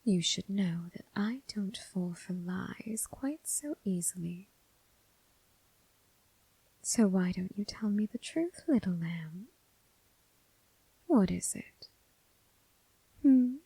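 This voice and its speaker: A woman playing a commanding, teasing, coolly amused mob boss in an ASMR roleplay, husky and breathy, speaking with deliberate, condescending pauses. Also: lively intonation, mellow, no echo, full character voice, rough recording. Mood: probing, amused. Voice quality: husky, breathy, low, creaky. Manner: slow, deliberate, purring condescension with drawn-out pauses. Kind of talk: ASMR roleplay, scripted character acting.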